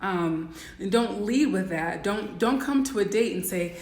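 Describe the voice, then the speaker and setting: A woman with a raspy voice giving blunt, instructive coaching advice in a casual livestream talk, full of "um" and "like". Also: medium pitch, lively intonation, unhurried, a little mellow, reverberant. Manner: blunt, instructive, many "um" and "like" fillers. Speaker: dating and life coach.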